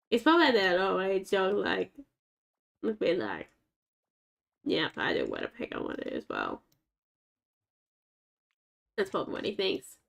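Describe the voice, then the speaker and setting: A woman's voice: medium pitch, vocal fry. A woman with a naturally scratchy voice chatting casually to herself and her pets in the game as she plays. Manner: rambling, chatty, talks to herself and the game's dogs. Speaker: game streamer. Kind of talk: casual gameplay commentary.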